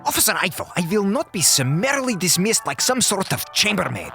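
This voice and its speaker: A man's voice: mid-range voice, big pitch swings, moderate pace, music in the background. An actor playing a brusque, pedantic male doctor of science in an audio drama, speaking in formal, precise phrases. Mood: indignant. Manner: formal, clipped, precise diction.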